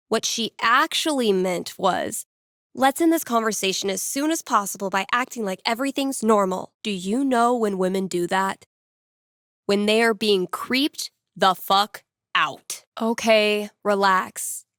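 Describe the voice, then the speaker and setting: A dry, close-recorded voice of a young woman radio DJ in a video game. She is candid and quick-witted, with a mid-range pitch. Her delivery is conversational and wryly sarcastic, like casual on-air patter. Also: a bit bright, no room sound. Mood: exasperated, blunt. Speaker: radio host.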